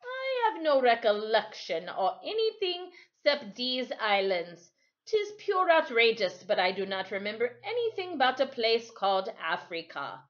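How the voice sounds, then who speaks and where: Storybook sailor played by a woman: man in his sixties, easygoing, folksy, very varied pitch. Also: huge pitch swings, muffled recording, exaggerated voice. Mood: amused, easygoing. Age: 60s. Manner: folksy, unhurried dialect speech. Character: old West Indian sailor, over sixty, easygoing, chuckling. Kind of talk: storybook character voice.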